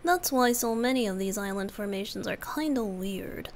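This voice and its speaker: A woman voicing a youthful male wind-spirit bard, soft, gentle and teasing, often breathy, in a romantic ASMR roleplay. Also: medium pitch, expressive, normal speed, lightly acted. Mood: wistful, fond.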